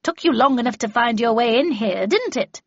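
A woman voicing a stern, sharp-tongued, commanding older woman in an audiobook, brusque and brooking no argument. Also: animated, normal speed, no echo, lo-fi sound. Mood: brusque, scolding. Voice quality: low growl at times. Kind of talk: audiobook character voice.

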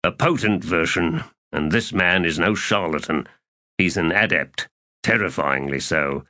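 A man voicing a weary, dry manservant in an audiobook, understated and deadpan. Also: sits low, measured pace, no echo, full character voice. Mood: grave, weary. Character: weary, dry manservant, secretly an elder god. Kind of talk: audiobook character dialogue.

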